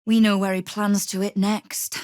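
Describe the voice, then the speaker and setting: A woman's voice: mid-range voice, little pitch movement, normal speed, darkish tone, no echo, studio quality, natural voice. An actress playing a shrewd, tough female crime boss in a video game, speaking with wry, controlled menace. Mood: coolly menacing. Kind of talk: video game character dialogue.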